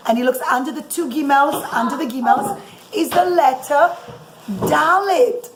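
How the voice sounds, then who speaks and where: High-voiced woman storyteller in an echoey room. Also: a little slow. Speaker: mother telling a bedtime story to children. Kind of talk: informal religious storytelling for children. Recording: echoey room with clear background noise.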